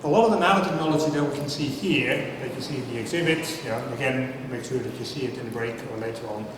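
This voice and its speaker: A man in his fifties gives a relaxed, self-deprecating talk before a live audience and keeps asking the crowd questions. His voice booms around a large, echoing hall and is picked up from some distance. A clear layer of noise sits under the speech. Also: fast talker. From Netherlands. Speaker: speaker at a science talk night. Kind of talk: casual public talk with audience banter. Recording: echoey hall, mic from afar, noisy.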